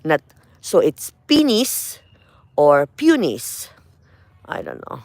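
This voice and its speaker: A woman's voice: low voice, huge pitch swings, slow, gravelly, darkish tone, no echo. A middle-aged woman with a Filipino accent gives a casual walk-through of her garden outdoors, chatting loosely and repeating herself with lots of "you know" and "uh". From Philippines. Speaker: gardening vlogger.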